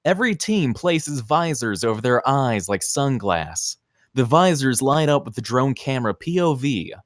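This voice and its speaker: Animated man reading screenplay directions. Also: mid-range voice, moderate pace, no echo, studio quality. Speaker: stage-direction reader. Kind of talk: scripted narration at a screenplay table read.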